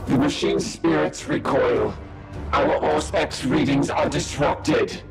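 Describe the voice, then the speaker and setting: An actor playing an anxious, clinical tech priest in a sci-fi game, reporting in formal technical phrases. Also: male voice, a little high, takes it slowly, over loud music. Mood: tense report. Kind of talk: dramatic game dialogue.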